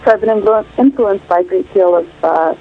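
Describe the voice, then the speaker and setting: A woman's voice: medium pitch, a little fast, soft background music. A woman singer interviewed by phone, from Illinois, speaking thoughtfully and searching for words with frequent fillers. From Illinois, United States. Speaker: guest singer. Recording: tinny phone line.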